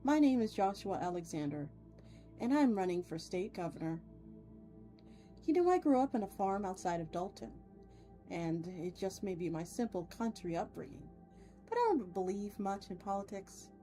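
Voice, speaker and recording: A woman's voice: medium pitch, sing-song, moderate pace, dark tone, soft background music, voice pushed down, natural voice. A woman reading aloud as a folksy male candidate for governor, giving a plain-spoken campaign speech from a story. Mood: plain, earnest. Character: folksy male politician running for state governor. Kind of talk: scripted story read aloud.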